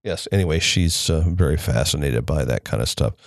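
A man's voice: rumbling low, animated, brisk, gravelly, no room sound, studio quality. A man hosting his own unedited solo podcast from home, talking through many fillers and restarts. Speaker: podcast host. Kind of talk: unscripted solo podcast talk. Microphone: close desk mic.

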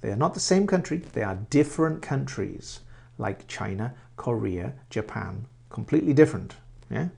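A man in his sixties with a mixed English and Welsh accent, teaching English to learners in slow, simple sentences and often asking them questions. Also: medium pitch, animated, creaky, darkish tone, breathy edge. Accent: mix of English and Welsh. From England. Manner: repeats words and asks the listener questions.

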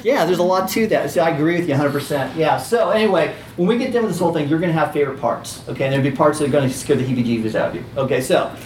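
A man's voice: lively intonation, rapid-fire, few pauses, darkish tone, a little hiss or hum. A man teaching a casual class, talking in a chatty way with lots of fillers and restarts. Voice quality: squeaky. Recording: roomy, distant, faint ambience.

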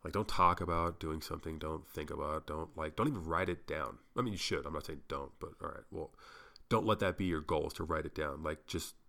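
A man's voice: rumbling low, fairly quick, gravelly, hardly any pauses, pushed and bright. A young man hosting a solo podcast, rambling casually and swearing, sounding a little congested. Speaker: podcast host.

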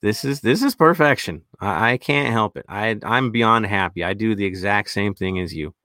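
A man co-hosting a casual podcast, upbeat and chummy, pushing his points with emphasis. His lowish voice is bright and crisp. It sounds dry and close, with no room ring. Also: lively intonation, moderate pace, no room sound. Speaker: podcast co-host.

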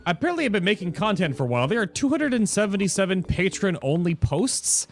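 Chatty, higher-voiced man hosting, music behind. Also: big pitch swings, fast talker. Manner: chatty, self-interrupting, joking asides. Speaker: podcast and stream host. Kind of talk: casual gaming show hosting. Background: background music.

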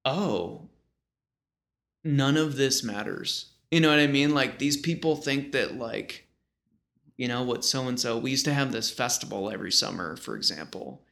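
A man's voice: medium pitch, lively intonation, measured pace, gravelly, fairly bright tone. An American man in his thirties from small-town New York, telling stories casually in a slangy, rambling, informal way. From small-town western New York, United States. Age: 30s. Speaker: guest. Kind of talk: casual conversational storytelling.

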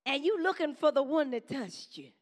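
A woman preaching a sermon to her congregation in a conversational style, with quick call-and-response asides to the church. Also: sits high, lively intonation. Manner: says she has a strong voice.

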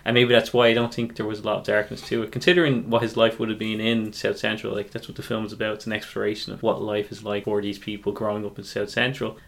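A man's voice: fairly low pitch, flat delivery, fast talker, gravelly, few pauses. A man co-hosting a podcast, giving opinionated, rambling film review chat as he thinks aloud. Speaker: podcast co-host.

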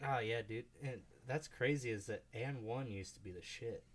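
A man in his twenties chatting casually, slangy and animated when telling a story. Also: mid-range voice, moderate pace, a little hiss or hum. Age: 20s. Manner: animated storyteller, slangy, calls people dude. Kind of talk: casual chat.

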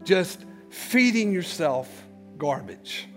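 A male pastor preaching a sermon, swinging between loud shouts and hushed low passages and repeating words as he builds. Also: sing-song, takes it slowly, faint music behind.

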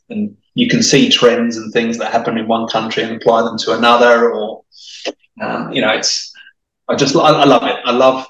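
A man's voice: lowish voice, fairly bright tone, distant mic. Chatty man, echoey and off-mic. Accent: mixed, hard to place. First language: Italian. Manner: chatty, many restarts and repeated words. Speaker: guest. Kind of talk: casual interview chat.

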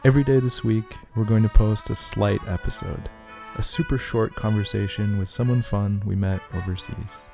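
A man's voice: on the low side, fairly even intonation, a little slow, gravelly, few pauses, mellow, faint music behind. A man hosting a podcast, reading scripted narration to introduce an episode. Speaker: podcast host.

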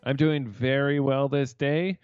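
A man's voice: mid-range voice, fairly even intonation, a little slow, a little mellow, clear, resonant tone, faint music behind. A man co-hosting a podcast in casual conversation over a remote computer call. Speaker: podcast co-host. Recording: slightly dull computer-call audio.

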